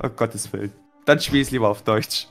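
A man's voice: on the low side, fairly quick, fairly bright tone, echoey room, soft background music. A man from East Germany answering a survey question with wry jokes. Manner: wry, joking. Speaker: interviewee.

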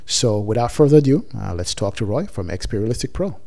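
A man hosting a podcast, introducing the episode in a relaxed way with lots of fillers. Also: on the low side, brisk, creaky. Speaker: podcast host.

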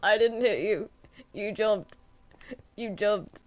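A woman's voice: medium pitch, slow, highs cut off, lightly acted. Woman as male cartoon demon, game roleplay, flustered, stammering, defensive, repetitive. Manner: stammering protests, repeats himself. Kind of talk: improvised Minecraft roleplay.

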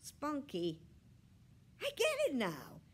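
A woman's voice: mid-range voice, slow, a little mellow, slightly rough. A woman voicing an impatient, busy schoolboy in a storybook, exasperated and scolding as he talks to his pet. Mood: exclaiming.

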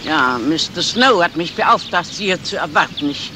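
A woman's voice: on the low side, lively intonation, moderate pace, close to an everyday voice. A woman playing a brusque, unyielding housekeeper in a 1970s radio crime drama. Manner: brusque, blunt. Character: gruff, unyielding English housekeeper at a manor. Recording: noisy 1970s radio play recording.

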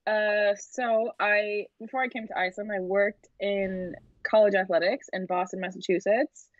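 A woman's voice: medium pitch, steady tone, moderate pace, dry recording, dull audio. A young woman from the United States, a guest on a video call, chatting casually with frequent laughs and lots of "like". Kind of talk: casual interview chat. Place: room at home by a window.

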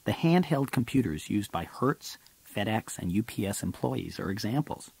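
A man's voice: on the low side, very animated, normal speed, creaky, a little mellow, breathy edge. A man reading his own business audiobook as scripted narration, in an emphatic, explanatory way.

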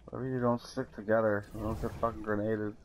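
A man's voice: lowish voice, level tone, fast. A man talking casually to teammates during an online shooter game, in slangy and sometimes profane short phrases. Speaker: gamer in a squad. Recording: noisy game chat with clicks.

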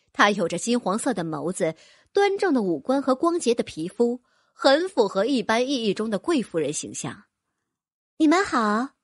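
Lively, high-voiced woman narrator. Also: dry recording, clean studio sound. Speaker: audiobook narrator. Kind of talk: scripted fantasy novel narration.

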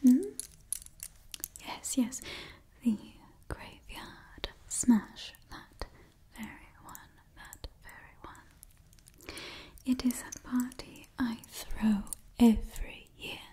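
A woman in her twenties whispering close to the microphone in a slow, hushed ASMR style, softly repeating words. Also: a little high. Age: 20s. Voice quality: whispery, breathy. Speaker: ASMR host. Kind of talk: ASMR role-play and sponsor read.

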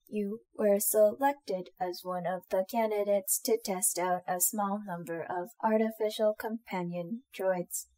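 A woman playing an affectionate, shy young female companion droid in a roleplay audio drama, speaking softly and sweetly to the listener. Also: mid-range voice, close to an everyday voice, rough recording. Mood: polite, formal.

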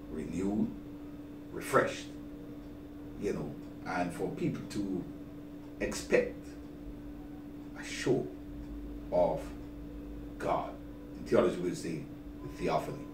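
An older, low-voiced man answers interview questions as a bishop. He speaks deliberately, with halts and repeated words. He sits away from the mic in a roomy office, with clear noise behind him. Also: very animated, recorded from a distance. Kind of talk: interview, inviting the public to an event. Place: small office, seated at a desk.

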